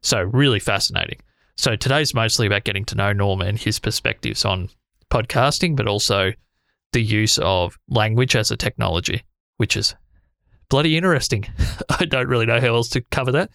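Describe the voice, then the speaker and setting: A man's voice: fairly low pitch, normal speed, vocal fry, a bit bright, no echo, studio quality. A man hosting a podcast, chatting enthusiastically and laughing easily as he introduces his guest. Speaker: podcast host. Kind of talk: casual podcast intro and interview.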